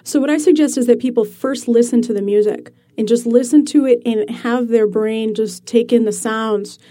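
A woman author being interviewed, explaining her ideas in an animated way and laughing easily. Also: a little high, moderate pace. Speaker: author guest. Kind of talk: radio interview.

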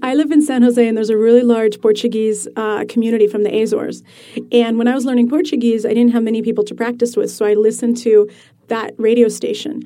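Woman, author guest, animated explainer, mellow voice, fairly high pitch. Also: brisk. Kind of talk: radio interview.

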